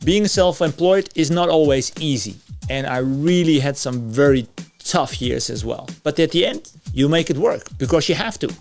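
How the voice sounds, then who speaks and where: A man's voice: on the high side, expressive, normal speed, faint music behind. A man, hosting a reaction video and giving earnest advice. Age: 50s. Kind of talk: reflective commentary.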